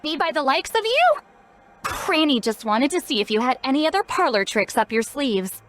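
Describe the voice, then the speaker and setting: A woman's voice: high voice, big pitch swings, normal speed, a bit bright. A woman voicing a spry, youthful-sounding self-styled granny in a video game, playful, knowing and caring. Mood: teasing. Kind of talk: scripted video game dialogue. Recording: game music behind. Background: game music.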